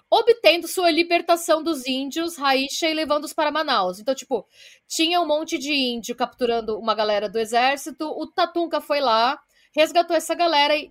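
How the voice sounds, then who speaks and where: A Brazilian woman co-hosting a podcast, retelling a story from her research in a blunt, casual way with some swearing. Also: high voice. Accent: Brazilian Portuguese. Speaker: podcast co-host.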